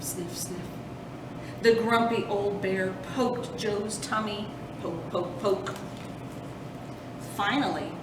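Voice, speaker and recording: A woman narrating a children's storybook as a storytime host, deliberately, with dramatic emphasis on action words. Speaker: storytime host reading a picture book. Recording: roomy, distant mic with rumbling noise.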